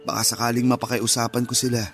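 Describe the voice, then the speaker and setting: A man playing a gentle, protective grieving husband in a Filipino radio drama, with a soothing, earnest manner. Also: medium pitch, monotone, fast talker, soft background music, lightly acted. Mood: reassuring, earnest.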